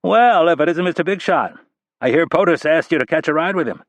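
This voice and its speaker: Joking ex-marine desk boss, voiced by the audiobook reader, brighter and louder than the narration, ribbing and animated. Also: male voice, fairly high pitch, brisk, no echo, pitched above the performer's own voice. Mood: teasing. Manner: jokey, teasing smartass. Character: wisecracking middle-aged male spy boss, ex-Marine.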